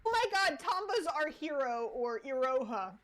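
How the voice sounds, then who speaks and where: A woman's voice: high-pitched, very animated, moderate pace, fairly bright tone. A woman giving casual reaction commentary with playful, exasperated asides. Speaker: reaction show host.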